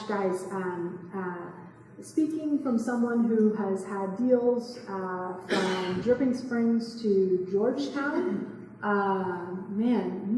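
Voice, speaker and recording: A woman, giving a quick, chatty seminar talk and asking the room questions. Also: mid-range voice, very echoey, long reverb tail, distant mic, rough recording. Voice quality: soft voice. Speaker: real estate investing speaker. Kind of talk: live seminar talk to a small audience. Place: meeting room, indoors. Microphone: far camera.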